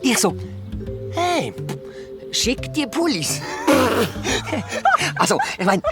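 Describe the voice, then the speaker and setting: An excitable boy sleuth in a children's radio play. The pitch swings widely as he grumbles and dramatizes in youthful slang, with music behind. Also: slow pace. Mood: animated, recounting. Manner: slangy, theatrical, complaining. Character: excitable, grumbling young boy detective, twin brother. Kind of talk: children's audio drama acting.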